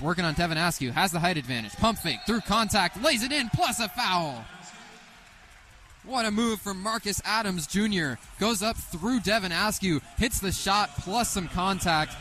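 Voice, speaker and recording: A man in his twenties calling basketball play-by-play live on the radio in an excitable manner. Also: high voice. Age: 20s. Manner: excitable, rising sharply on big plays. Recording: live sports radio, faint background noise.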